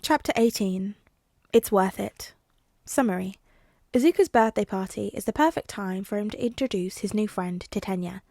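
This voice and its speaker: A woman's voice: mid-range voice, moderate pace, creaky, a little mellow, no echo. Woman narrating a story, dry close sound. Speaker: audiobook reader. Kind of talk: storybook narration of fan fiction.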